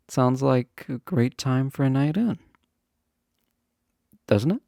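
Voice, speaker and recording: Man playing a slow, mellow, flirty college guy. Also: lowish voice, vocal fry, no room sound, studio quality, heavily acted. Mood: inviting, shy.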